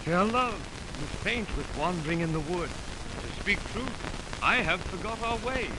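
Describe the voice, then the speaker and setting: A man's voice: sits high, expressive, a little slow. An actor playing an ardent young nobleman in earnest, declamatory verse for a 1920s radio Shakespeare play. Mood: tender, wooing. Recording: noisy 1929 radio aircheck.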